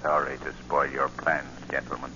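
An actor playing a menacing, mocking invisible crime-fighter, slow and deliberate, in 1940s radio style. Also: male voice, rumbling low, level tone, noisy background. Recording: muffled 1940 radio recording.

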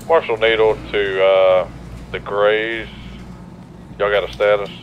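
A lowish male voice talks casually as a roleplay police marshal. The sound is muffled and lo-fi, like a radio, with faint noise. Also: little pitch movement, slow pace.

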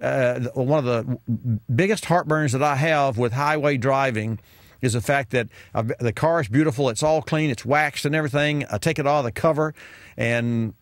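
Chatty middle-aged radio car-show host. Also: male voice, mid-range voice, moderate pace, dry recording, clean studio sound. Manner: chatty, rambling, many fillers and restarts. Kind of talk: call-in radio advice. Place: radio studio with colorful sign backdrop. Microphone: studio mic on boom arm, wearing headphones.

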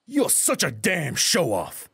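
Loud, hot-tempered military crew leader. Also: male voice, on the high side, wildly swooping pitch, slow pace, gravelly, husky, no echo, studio quality, pitched above the performer's own voice, heavily acted. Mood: scornful. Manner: loud, shouting, blustering. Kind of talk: audiobook character acting.

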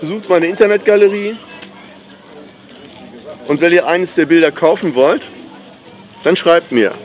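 Middle-aged man, slightly high voice, relaxed enthusiastic vlogger, outdoor camera mic with faint background noise. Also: unhurried, some chatter behind, rough recording. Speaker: pop artist vlogging. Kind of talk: casual vlog to camera. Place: outdoors at a sunny marina. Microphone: handheld camera mic.

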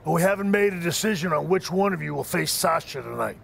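A brisk, gravelly head trainer. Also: male voice, on the high side, animated, a bit bright, slight background noise, exaggerated voice. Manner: brisk, matter-of-fact.

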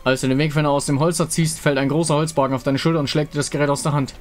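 A man reading scripted story narration for a fantasy game in a measured, dramatic way. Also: a little high, fairly even intonation, soft background music.

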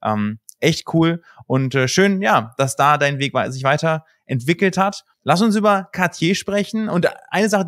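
A man in his thirties hosting an interview, talking quickly and enthusiastically to his guest and to the viewers. He speaks into a studio microphone on a video call. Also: on the high side, no room sound. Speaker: host.

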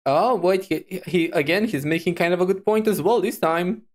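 A woman's voice: lowish voice, normal speed, darkish tone. A woman reacting to a video as a VTuber, musing aloud in a casual, hesitant, repetitive way.

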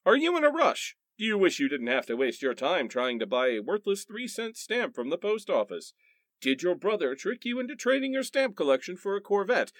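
Guy doing a worked up ad pitchman, scolding, highish, really animated, parody radio spot. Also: no echo, studio quality. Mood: salesy. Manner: scolding, over-excited. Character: brash, indignant male ad pitchman.

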